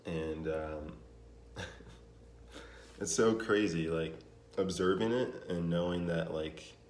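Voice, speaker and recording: A young man with a very deep, low voice talks loosely to camera, circling back with fillers and restarts. The room is bare and echoey, and a faint hum of ambience sits behind him. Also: slow. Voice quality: naturally deep voice. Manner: relaxed, rambling, with many fillers and restarts. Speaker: vlogger. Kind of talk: casual vlog talk to camera. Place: home in Denver.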